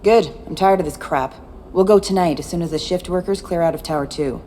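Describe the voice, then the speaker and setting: A woman's voice: on the low side, a little fast, mellow, slight background noise, close to an everyday voice. A woman playing a tough, cynical former security chief in video game dialogue, blunt and guarded. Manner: blunt, matter-of-fact.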